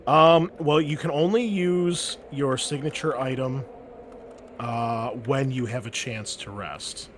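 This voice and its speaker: A man's voice: medium pitch, slow pace, slight background noise. A man narrating as game master of a tabletop role-playing game, rambling a bit with fillers as he describes the scene. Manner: loose, rambling with many fillers.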